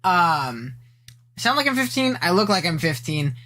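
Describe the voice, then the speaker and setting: A young man with a high-pitched, not very deep voice, live-streaming and chatting casually as he reacts to an old video, rambling, teasing his chat and poking fun at himself. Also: very animated, normal speed, bright, forceful tone.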